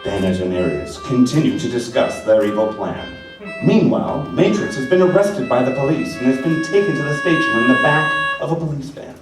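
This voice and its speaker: A man's voice: medium pitch, animated, fairly quick, over music. A man giving scripted narration for a radio play on a live stage. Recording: very echoey hall, distant, faint noise.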